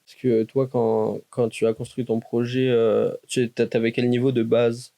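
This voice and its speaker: A man's voice: medium pitch, level tone, a little slow, gravelly, warm tone, dry recording. A man interviewing a student guest for a university podcast, asking casual questions with frequent hesitations.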